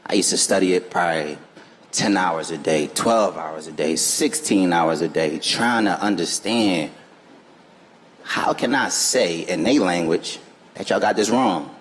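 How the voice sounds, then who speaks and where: Deep-voiced man on a reverberant stage. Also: unhurried. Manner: earnest, emphatic, informal phrasing. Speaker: panel guest. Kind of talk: live panel discussion. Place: festival stage before an audience.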